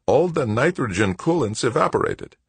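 Salty, exasperated lunar mechanic for audiobook, male reader putting on a raised, rough voice with working-class bluntness. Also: medium pitch, sing-song, moderate pace, dry recording, pitched above the performer's own voice, full character voice. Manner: methodical cursing, blue-collar speech. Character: Wojo, gruff cursing male lunar worker, exasperated, salty-tongued. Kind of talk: audiobook character voice.

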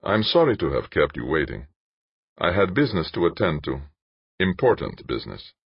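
A man voicing a smug, menacing male captor who speaks slowly and condescendingly. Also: low-pitched, very animated, gravelly, husky, no room sound, dull audio, exaggerated voice.

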